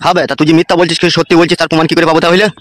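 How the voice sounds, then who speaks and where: A man's voice: fairly high pitch, little pitch movement, racing, fairly bright tone, no room sound, clean studio sound, close to an everyday voice. A man voicing a crude, bossy leader who teases his friend in a comedy cartoon. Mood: teasing.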